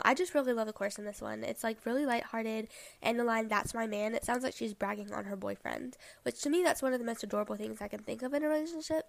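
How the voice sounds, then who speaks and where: A woman's voice: fairly high pitch, gravelly, no room sound. A young woman hosting her podcast in a chatty way, filling her talk with "like" and "um", a little sick. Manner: chatty, many "like" and "um" fillers. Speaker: podcast host. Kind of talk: casual chat, album review.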